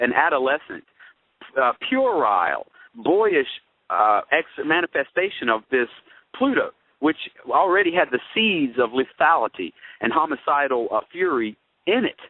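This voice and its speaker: A man's voice: fairly high pitch, no echo. Phone-band man expounding like an astrologer. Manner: wordy, expository, rhetorical questions. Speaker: show host and astrologer. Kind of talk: conversational astrological analysis. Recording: narrow phone-like radio sound.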